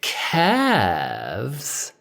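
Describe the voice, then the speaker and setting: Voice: medium pitch, huge pitch swings, very slow, gravelly, a bit bright, slight background noise, full character voice. A performer voicing a vain, gushing aristocratic woman who coos theatrically in an audio story. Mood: cooing. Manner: cooing, theatrical, self-admiring. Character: vain, gushing aristocratic lady in stolen young body. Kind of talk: audiobook fan fiction character voice.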